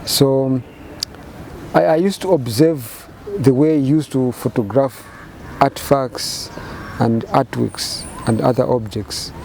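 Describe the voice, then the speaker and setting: Soft-toned Zambian man in his fifties. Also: medium pitch, creaky, a little hiss or hum. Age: 50s. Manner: measured, halting phrasing with repeated words and fillers. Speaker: painter and photographer interviewee. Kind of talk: reflective interview answers.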